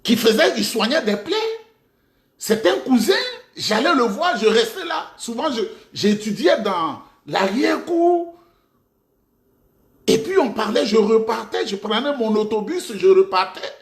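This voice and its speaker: A man's voice: extremely high pitch, animated, a little slow. A middle-aged man from Côte d'Ivoire with an Ivorian French accent, delivering a mocking, rhetorical political monologue with sudden outbursts. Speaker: political commentator. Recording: echoey room.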